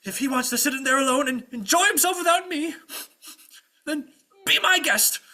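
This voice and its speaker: A man voicing a long-suffering squire, loyal but fed up, in a shrill, strained, melodramatic way. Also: expressive, a little slow, sharp tone, heavily acted. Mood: furious ranting. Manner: melodramatic ranting and exclaiming.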